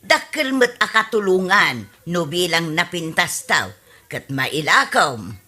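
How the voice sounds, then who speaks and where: Woman acting a bright, slow Ilocano neighbour lady. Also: lowish voice, big pitch swings, heavily acted. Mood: easygoing. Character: generous, easygoing older neighbour woman, a mother. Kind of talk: Ilocano radio comedy drama.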